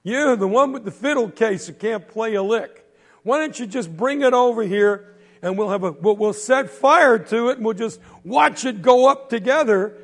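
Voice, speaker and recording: A man voicing a taunting middle-aged male war veteran who mocks a boy, in a loud, jeering manner. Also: high voice, lively intonation, moderate pace, in a large hall, voice pushed up. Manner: mocking, jeering.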